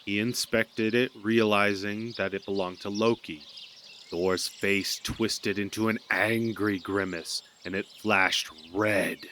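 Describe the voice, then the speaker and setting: A man's voice: fairly low pitch, fairly even intonation, takes it slowly, bright, forceful tone. A man narrating a scripted audio drama as a storyteller. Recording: noticeable background noise.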